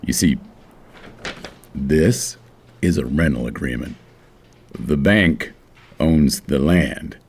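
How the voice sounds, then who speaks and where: Very deep, gravelly, condescending banker. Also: male voice, a little mellow, full character voice. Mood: feigned innocence. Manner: falsely courteous, drawn-out, patronizing. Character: smooth, condescending, crooked male small-town banker. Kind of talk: audio drama acting.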